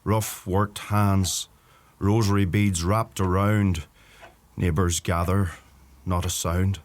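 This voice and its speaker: Low-voiced brooding young Donegal farmer. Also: male voice, flat delivery, slow pace. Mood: mournful. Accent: Irish, Donegal. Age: young adult. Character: young Irish farmer, brooding, awkward, bitterly self-reproaching. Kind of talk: radio play acting with poetic monologue.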